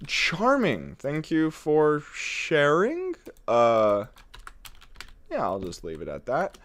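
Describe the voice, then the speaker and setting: A man's voice: a little high, huge pitch swings, takes it slowly, fairly bright tone, no room sound. A man live-streaming a building game, chatting in a playful, rambling way with frequent chuckles, sounding congested. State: congested, runny nose. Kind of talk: casual live-stream chat while building.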